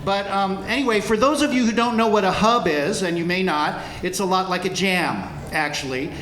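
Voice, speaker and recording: A man's voice: high-pitched, normal speed, a bit bright, slight background noise. A middle-aged man speaking live to a concert audience as a volunteer, earnest and grateful, rallying the crowd with plenty of fillers. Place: large room with live audience. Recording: echoey room, crowd murmur.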